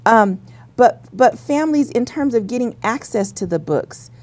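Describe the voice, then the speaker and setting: A woman's voice: a little high, expressive, moderate pace, darkish tone. A woman hosting a radio interview, asking questions in a chatty, thinking-aloud way. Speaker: radio host.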